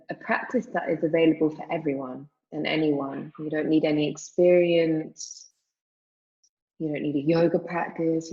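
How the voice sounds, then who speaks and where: A woman teaching yoga nidra, guiding a meditation in a calm, soothing, measured manner with long pauses. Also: sits low, lo-fi sound. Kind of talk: guided meditation, spoken slowly with long pauses.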